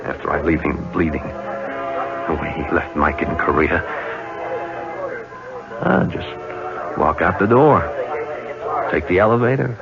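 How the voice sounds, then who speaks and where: Very deep-voiced vengeful schemer. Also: male voice, gravelly, heavily acted. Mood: insistent, plotting. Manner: conspiratorial, deliberate. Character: cold, vengeful man coolly plotting a murder. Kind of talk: 1970s radio drama acting. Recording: dull 1970s radio recording, music behind.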